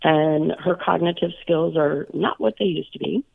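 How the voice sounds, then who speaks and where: A hoarse, raspy-voiced woman co-hosting a radio show, chatting casually with many fillers. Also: low-pitched, normal speed. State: hoarse, recovering from illness. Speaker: radio co-host. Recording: tinny phone line.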